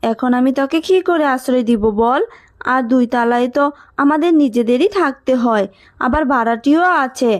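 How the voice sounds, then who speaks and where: Casting: woman as greedy cartoon landlady, high voice, curt, dismissive, unfeeling. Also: normal speed, lightly acted. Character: greedy, unfeeling landlady refusing her maid shelter. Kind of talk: Bengali cartoon dub.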